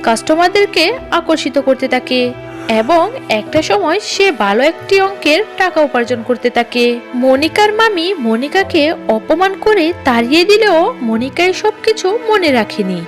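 A woman's voice: high-pitched, sing-song, unhurried, over music. A woman narrating a scripted cartoon moral story as a storyteller.

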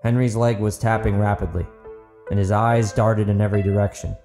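A man's voice: fairly low pitch, fairly even intonation, brisk, mellow, faint music behind. A man reading dramatic fiction as an audiobook narrator, in a scripted storytelling style.

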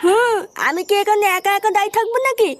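Squeaky, very high cheeky cartoon boy acted by a woman. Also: fast talker. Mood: whiny. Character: cheeky little cartoon boy, naive and foul-mouthed. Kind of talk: comic cartoon dub.

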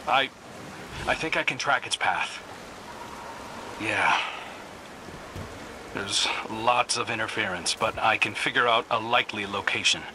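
A man voicing an earnest, brooding younger hunter in a video game, speaking in a serious, measured manner. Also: fairly low pitch, background noise, natural voice. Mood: serious, focused. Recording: game audio under music. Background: game music.

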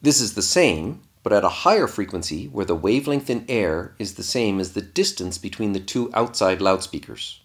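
A man with a fairly low voice narrates a scripted lesson. His intonation moves widely up and down as he explains. He sounds like an instructor, laying out each point step by step. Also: animated, normal speed, hardly any pauses.

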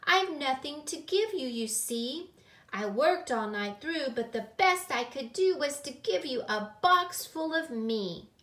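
A woman's voice: sits high, animated, measured pace, fairly bright tone, voice pushed up. A woman voicing an anxious, loving little granddaughter in a rhyming children's storybook, earnest and plaintive. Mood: sheepish. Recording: roomy echo.

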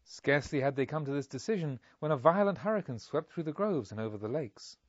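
A man reading literary narration as an audiobook, in a solemn, measured storytelling manner. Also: fairly high pitch, animated, no echo, dull audio. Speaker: audiobook reader.

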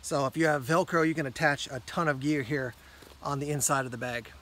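A man giving a casual hands-on gear walkthrough outdoors, pausing with ums and correcting himself as he talks. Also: a little high, steady tone, bright, forceful tone, a little hiss or hum. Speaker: gear reviewer. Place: outdoors on a rock among plants.

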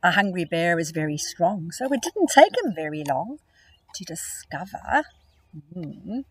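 Middle-aged woman, teacher reading to kids; breathy, animated storyteller, phone camera outdoors. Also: on the low side, a little slow, no echo. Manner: expressive, storytelling. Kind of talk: reading a picture book aloud to children. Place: outdoors in a grassy field.

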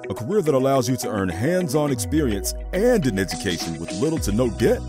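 A man narrates a recruitment ad in a mid-range, highly varied voice. Clear music runs under the scripted read. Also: big pitch swings, fairly quick. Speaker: commercial announcer. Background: music bed.

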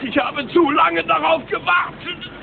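A vengeful cartoon sea captain, crazed for gold. He draws his words out in a gravelly creak, swinging wildly in pitch. It sounds like a noisy old cassette audio play. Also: fairly even intonation, unhurried, phone-quality sound, heavily acted. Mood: furious. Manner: gloating, cackling, obsessive. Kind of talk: German cartoon audio drama acting.

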